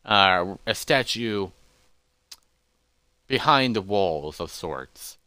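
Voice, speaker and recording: A man's voice: fairly low pitch, expressive, extremely slow, sharp tone, clean tone, no room sound, heavily acted. A man voicing a massive, curious explorer creature in a fantasy roleplay, hesitant and thoughtful as he talks to himself. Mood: pondering. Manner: musing, hesitant, talking to himself.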